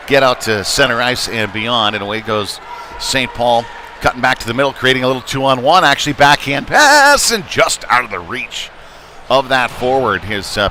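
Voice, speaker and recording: A man doing live play-by-play of a youth hockey game in a halting, folksy, conversational way. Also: medium pitch. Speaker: play-by-play commentator. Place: indoor ice rink during a game. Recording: noisy arena, clear rink ambience behind.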